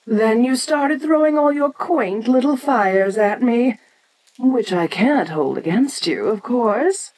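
An ancient kaiju giantess played by a woman speaks straight to the listener in an audio roleplay. She is imperious and condescending, taking her time over each phrase while her pitch swoops with lively, theatrical expression. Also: slow pace, a little hiss or hum, rough recording. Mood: proud. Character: ancient giant kaiju queen, haughty, domineering female monster.

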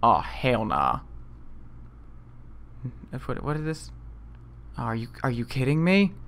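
Excitable man commentating, wildly swinging pitch. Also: slow, slight background noise. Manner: excitable, self-deprecating. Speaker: video game let's player. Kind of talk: casual gameplay commentary.

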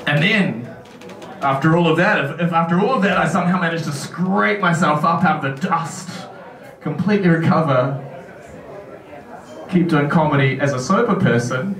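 A young man with a New Zealand accent, a stand-up comedian with a dry, deadpan, self-deprecating delivery, speaking into a handheld mic in a brick comedy club. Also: on the high side, normal speed, echoey room, distant mic. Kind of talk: stand-up set and casual interview. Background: crowd laughter.

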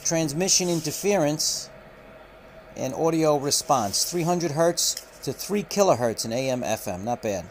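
Man talking slowly with many fillers. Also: a little high, lively intonation, slight background noise. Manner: unhurried, many filler pauses. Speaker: radio reviewer. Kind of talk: unboxing walkthrough. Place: indoor room at a table.

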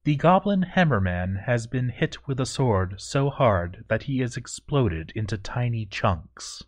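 A man voicing a proud, stern dwarf overseer in formal, measured, scripted storytelling narration. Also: mid-range voice, animated. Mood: grave. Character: proud, stern male dwarf fort overseer, grandly dutiful. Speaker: narrator reading a fort overseer's journal.

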